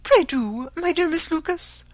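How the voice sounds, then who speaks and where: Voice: very high-pitched, animated, moderate pace, a bit bright, dry recording, voice pushed up. A narrator voicing an agitated, demanding middle-aged mother in an audiobook, exclamatory and pleading. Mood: melancholy, self-pitying. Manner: exclamatory, pleading, self-pitying.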